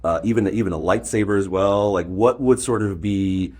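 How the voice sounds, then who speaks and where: A man with a fairly low voice chats as an interviewer. He is excited and informal, rambling and halting as he gets his questions out. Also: breathy edge. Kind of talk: casual chat.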